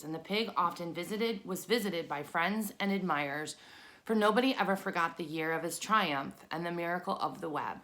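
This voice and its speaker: A woman's voice: fairly low pitch, fairly bright tone. A woman narrating a children's book as she reads it aloud. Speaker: storybook reader.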